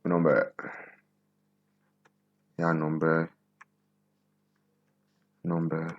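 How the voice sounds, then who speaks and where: A man in his twenties from Benin, whose first language is Nago, hosting a coding tutorial and thinking aloud slowly as he types, repeating words to himself. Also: a bass voice, completely flat delivery, no room sound, dull audio. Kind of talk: casual screen-recorded coding tutorial.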